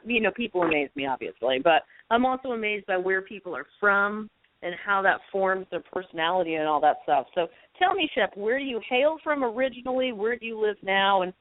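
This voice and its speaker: A woman's voice: mid-range voice, no room sound. A woman co-hosting a radio talk show, playful and teasing as she introduces and questions her guest. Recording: dry, tinny phone line.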